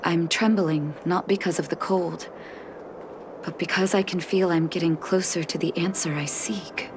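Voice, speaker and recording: A woman playing a grave, resolute young healer in a video game, measured and reflective as she grieves a lost brother. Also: on the low side, fairly even intonation, background noise, lightly acted. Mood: solemn, reading aloud. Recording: game music behind the voice.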